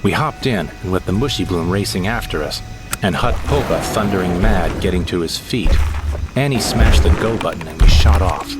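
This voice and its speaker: A man narrating a first-person audio drama in a wry, unhurried manner. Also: low voice, over loud music.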